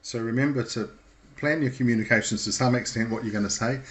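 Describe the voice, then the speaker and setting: A man giving an informal instructional talk in a measured, explanatory way with hesitant pauses. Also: medium pitch, fairly bright tone, rough recording.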